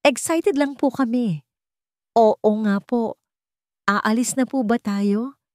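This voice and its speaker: A woman voicing an excited, polite little girl in a storybook narration, with an eager manner. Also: on the high side, slow, no echo, studio quality, pitched above the performer's own voice.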